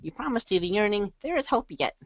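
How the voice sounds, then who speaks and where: A woman narrates from a script in a measured, declamatory manner. Her pitch is average. The sound is very close and dry, a little lo-fi. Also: very animated, no room sound. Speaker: reader in a reader's theater show. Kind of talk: scripted second-person narration.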